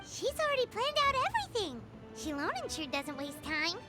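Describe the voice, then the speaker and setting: A woman voicing a tiny excitable fairy companion in a video game, bubbly and chatty. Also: extremely high pitch, moderate pace, heavily acted. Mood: worried. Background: soft game music.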